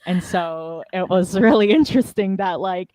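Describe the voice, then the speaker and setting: A woman author, a guest in an online interview, chatting in a relaxed, casual way. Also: on the low side, normal speed, no echo.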